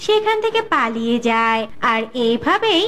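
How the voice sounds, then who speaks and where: A woman's voice: a little high, big pitch swings, normal speed, fairly bright tone. A woman reading scripted narration for a cartoon story.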